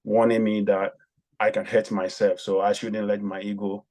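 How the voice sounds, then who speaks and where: A man with a somewhat low voice tells his story as a podcast guest. He speaks haltingly, filling pauses with frequent ums. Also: flat delivery, a little mellow. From Ghana. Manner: hesitant, many ums and pauses. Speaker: guest, former javelin athlete.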